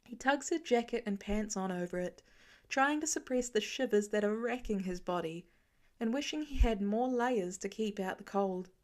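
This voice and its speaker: A woman's voice: mid-range voice, lively intonation, normal speed. Woman reading a story aloud. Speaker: podfic reader. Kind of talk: fiction audiobook narration.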